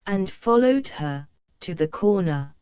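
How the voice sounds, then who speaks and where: A woman reading a children's picture book aloud, slow and careful for young learners. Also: medium pitch, animated, lo-fi sound, heavy background noise. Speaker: storybook reader.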